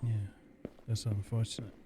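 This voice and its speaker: A man giving casual, low-key gameplay commentary, thinking aloud in short, terse remarks as he plays. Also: level tone, moderate pace, over music. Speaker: gamer playing and commenting. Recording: faint rain noise behind.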